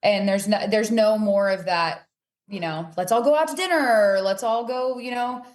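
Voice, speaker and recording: A woman's voice: mid-range voice, moderate pace. A woman chatting casually and candidly as a guest on a sports podcast. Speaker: podcast guest, competitive player. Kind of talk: casual chat.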